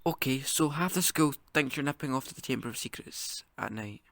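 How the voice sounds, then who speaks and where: A man's voice: medium pitch, animated, fairly quick, vocal fry, fairly bright tone, no echo. A man playing a wry, sceptical teenage schoolboy sidekick in an audio drama, speaking casually. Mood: offhand, reassuring.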